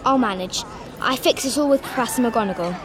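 A man voicing a brisk, bossy, clever teenage schoolgirl in a prim tone. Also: very high-pitched, over music, crowd noise, pitched above the performer's own voice.

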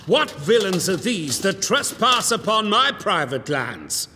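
A man's voice: sits high, big pitch swings, slow, bright, forceful tone, in a large hall, slight background noise, voice pushed up, exaggerated voice. A man voicing a short, squat, hot-tempered knight with pompous, archaic bluster.

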